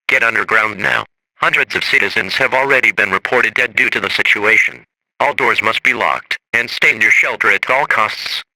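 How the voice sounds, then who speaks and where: A man's voice: medium pitch, bright, forceful tone, no room sound, poor audio. A man reading a scripted emergency weather bulletin in a formal, automated-sounding way. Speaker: emergency alert announcer.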